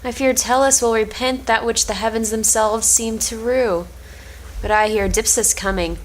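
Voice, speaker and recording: A woman's voice: a little high, steady tone, moderate pace, a little hiss or hum, lightly acted, poor audio. A woman playing a timid, pitying young servant girl, lamenting in a cold read of an Elizabethan stage play. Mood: pitying, fearful.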